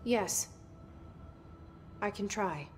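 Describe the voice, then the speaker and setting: A woman's voice: mid-range voice, drawn-out words, a little hiss or hum. Casting: guarded young female lead, game drama, mid-range natural voice, short serious phrases. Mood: reluctant, determined. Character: Jesse, guarded young woman, new bureau director, determined. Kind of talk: naturalistic cinematic video game acting.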